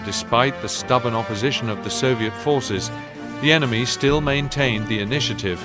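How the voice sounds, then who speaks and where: A man's voice: medium pitch, normal speed, over music, natural voice. War game narrator man: formal documentary read, grave tone, medium register, prominent musical backing. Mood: solemn. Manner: solemn, formal. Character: solemn male narrator of a wartime chronicle. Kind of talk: scripted documentary-style narration.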